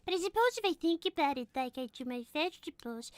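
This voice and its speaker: Very high-pitched timid bunny girl. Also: lively intonation, fast, no room sound, clean studio sound. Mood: politely contrary. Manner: apologetic, prim, politely stubborn. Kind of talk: improvised in-character comedy chat.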